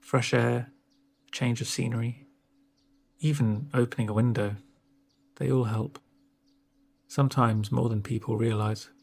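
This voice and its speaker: A man speaking softly in an ASMR roleplay as a doctor, with a gentle, reassuring, unhurried manner and a breathy voice. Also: medium pitch, fairly even intonation. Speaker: ASMR performer playing a doctor. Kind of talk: soft-spoken ASMR roleplay.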